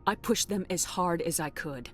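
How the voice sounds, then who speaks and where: A woman's voice: lowish voice, normal speed, faint music behind, lightly acted. A woman playing a firm, blunt military commander in a science fiction video game. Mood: frustrated, resolute. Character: resolute human female military commander in space opera. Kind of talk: cinematic game voice acting.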